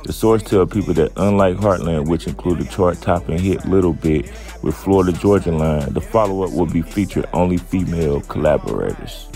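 A relaxed man talks through a news story and his own opinions in a slow, easygoing drawl full of fillers. His voice sits very low with a rough, gravelly edge. Faint music plays under him. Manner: laid-back, drawling, with many fillers. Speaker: channel host. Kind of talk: casual commentary reading a news article.

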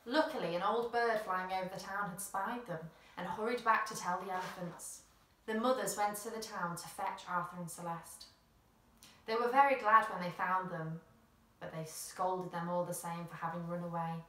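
A woman's voice: lowish voice, steady tone, moderate pace, pushed and bright. A woman, reading a children's picture book expressively in a small room. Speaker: storyteller. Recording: roomy, slightly distant mic.

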